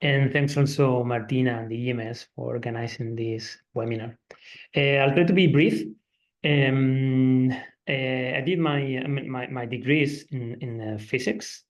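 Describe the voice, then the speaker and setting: A man's voice: medium pitch, measured pace. A man in his forties from Spain, with a Spanish accent, introducing himself on a webinar panel and often restarting his sentences. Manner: hesitant, many restarts and fillers. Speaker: climate scientist, panelist. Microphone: video call. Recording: slightly dull video-call audio.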